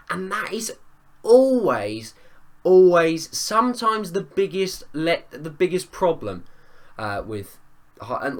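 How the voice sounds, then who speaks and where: A man with a hoarse voice from a cold, giving an enthusiastic, opinionated and rambling spoken review of a wrestling show. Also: on the high side, a bit bright. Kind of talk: casual spoken review vlog.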